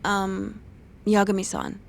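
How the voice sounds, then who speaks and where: An actress playing a polite, businesslike office colleague with a creaky voice in a video game dub. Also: female voice, mid-range voice, sing-song, takes it slowly, slight background noise, heavily acted.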